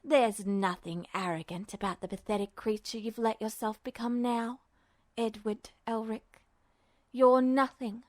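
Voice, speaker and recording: A woman performs an inhuman, all-powerful entity from a fantasy audio story. It drawls with icy condescension and calm menace, taking its time over each taunt. The sound is dry and close. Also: a little high, unhurried, dry recording, voice pushed down, natural voice. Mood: scornful. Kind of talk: audio fiction reading.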